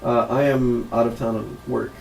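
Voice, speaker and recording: A man's voice: mid-range voice, steady tone, normal speed, creaky, recorded from a distance, crowd noise, poor audio. A man running a tabletop role-playing game for friends, joking and laughing between rulings. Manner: laughs often, loose joking delivery. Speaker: game master.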